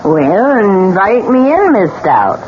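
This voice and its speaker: An older actress playing a stern, folksy old widow giving ominous warnings in a 1970s radio drama. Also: female voice, mid-range voice, big pitch swings, slow, darkish tone. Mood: brusque. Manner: insistent, foreboding, folksy. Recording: muffled old radio recording, faint noise.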